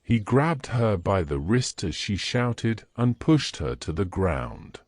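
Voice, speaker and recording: Male narrator for fantasy fiction: unhurried, very expressive intonation, dry close sound. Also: on the low side, no room sound. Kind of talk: scripted storytelling narration.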